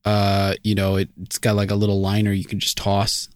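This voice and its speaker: A man's voice: on the low side, monotone, a little fast, dry recording, studio quality. A man co-hosting a comedy podcast, laughing through absurd made-up stories. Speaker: podcast co-host. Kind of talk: improvised comedy podcast banter.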